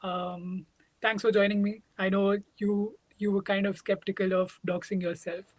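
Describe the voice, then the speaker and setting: A man's voice: sits high, flat delivery, moderate pace, no echo, rough recording. A man hosting a casual interview, peppering his questions with "like". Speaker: podcast host, interviewer.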